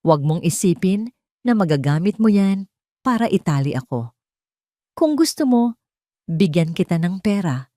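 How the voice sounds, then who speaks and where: A woman's voice: on the low side, sing-song, normal speed, long pauses, dark tone, no room sound, studio quality. Woman doing a cold, sneering rich husband. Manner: cutting, sneering. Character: cold, contemptuous billionaire husband.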